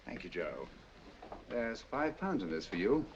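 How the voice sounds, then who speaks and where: Sherlock Holmes, cool and crisp. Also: male voice, on the low side, big pitch swings, muffled recording, a little hiss or hum. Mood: measured. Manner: crisp, measured, lightly ironic. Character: sharp, cool-headed English detective, middle-aged man. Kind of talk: 1940s film acting.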